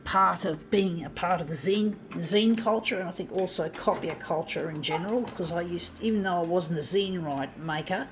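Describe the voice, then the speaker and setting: A woman's voice: on the low side, moderate pace, few pauses, slight background noise. A middle-aged woman reminiscing casually about mixtapes and zine culture, rambling with fillers and restarts. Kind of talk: casual reminiscing while working.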